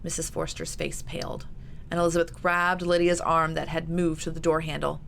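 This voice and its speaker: A woman's voice: low voice, fairly even intonation, fairly quick, vocal fry, fairly bright tone. A woman author reading her own historical novel aloud in an audiobook narration style. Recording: noticeable background noise.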